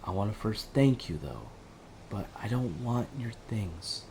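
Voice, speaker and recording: A man's voice: on the low side, big pitch swings, unhurried, a little mellow, a little hiss or hum. A man playing an earnest, empathetic crisis helpline operator in an audio drama, reassuring and imploring in short, repeated pleas. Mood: tender, earnest.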